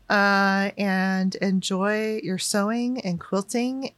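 A woman's voice: medium pitch, fairly bright tone, no echo. A woman hosting a casual podcast, chatty and full of fillers. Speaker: podcast host.

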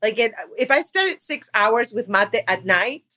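A middle-aged woman from Argentina, a comedian, chatting casually and candidly as a guest in an animated, jokey, sweary way. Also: fairly high pitch, normal speed.

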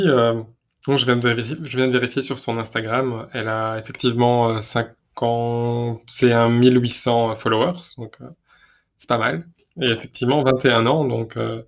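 A man co-hosting a podcast, talking casually with many fillers and repeated words. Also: mid-range voice. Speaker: podcast co-host. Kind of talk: casual chat.

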